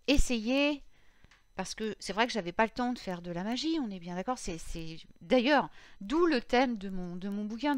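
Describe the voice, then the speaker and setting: A woman in her thirties, a little hoarse, talks through a casual podcast at a mid pitch. She rambles, circling back with fillers and restarts. Her voice sits close and dry, with no room around it. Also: dry recording. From France. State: slightly hoarse. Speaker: podcast host on witchcraft.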